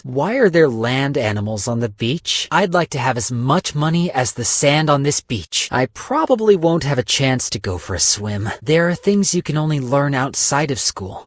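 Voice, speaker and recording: An actor playing a calm, mercenary businessman in studio game voice lines, speaking dry and deadpan. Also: medium pitch, no echo. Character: weary, cold-blooded businessman stock broker, male, adult.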